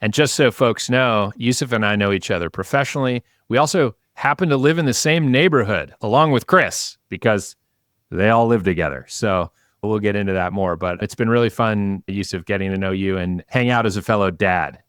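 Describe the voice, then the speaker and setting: A man's voice: on the low side, normal speed, a bit bright, no room sound, studio quality. A man hosting a podcast and interviewing guests in a conversational, presenterly way.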